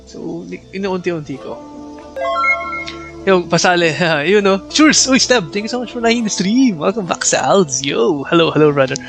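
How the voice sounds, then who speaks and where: A Filipino-accented man hosts a casual game stream. His voice is slightly high for a man, and he chats freely, code-switching as he greets viewers. Faint game music plays underneath. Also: expressive, moderate pace, creaky. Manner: chatty, greets viewers by name. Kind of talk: casual live stream chat mixing English and Tagalog.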